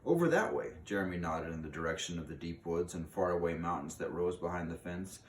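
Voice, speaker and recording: A man reading a storybook aloud in an expressive way, with dramatic pauses. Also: low voice. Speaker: reader of a children's book aloud. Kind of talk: storybook read-aloud narration. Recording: roomy, distant mic with faint noise.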